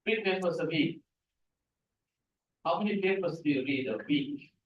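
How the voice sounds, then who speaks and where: A middle-aged man whose first language is Tamil gives a guest lecture on scientific writing. He is animated and keeps asking the audience questions. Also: a little high, moderate pace, highs cut off. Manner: animated, asks the room questions. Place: seminar room, hybrid session. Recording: echoey room from a distance.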